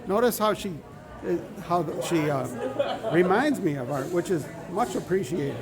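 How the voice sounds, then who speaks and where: Hoarse man hosting over crowd noise. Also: sits high, lively intonation. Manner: rambling, halting restarts, joking. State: a little hoarse. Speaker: show host. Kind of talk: casual live-stream chat. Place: large indoor hall with a crowd.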